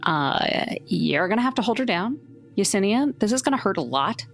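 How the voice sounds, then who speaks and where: A woman's voice: medium pitch, very animated, normal speed, soft background music. A woman playing a tabletop game, talking casually in character with a blunt, wry, informal manner.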